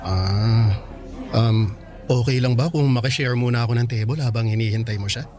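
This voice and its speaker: A man's voice: medium pitch, normal speed, lightly acted. A man with a Filipino accent playing a considerate, remorseful young suitor in a radio drama, polite and a little hesitant. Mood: friendly, tentative. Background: faint music.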